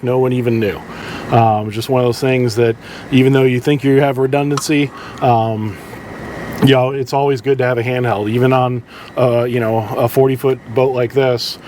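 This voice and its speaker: A man's voice: mid-range voice, a little mellow, a little hiss or hum. Casual man's voice over a background drone. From southeastern United States. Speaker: charter boat captain presenting tips. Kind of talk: casual instructional vlog.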